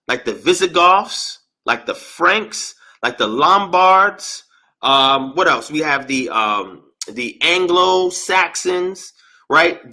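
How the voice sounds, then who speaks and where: A man's voice: mid-range voice, bright, forceful tone, husky. A man guest in an interview, explaining at length in an earnest, lecture-like way and drawing out key words for emphasis. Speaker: interview guest, religious teacher. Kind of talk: explanatory lecture-style talk.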